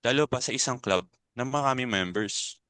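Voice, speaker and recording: A man acting a protective older club elder in a dramatic reading, reasoning and gently admonishing. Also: mid-range voice, normal speed, smooth, no echo, lightly acted. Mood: reasoning, firm. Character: Tito Dudes, protective, reasoning older male club member.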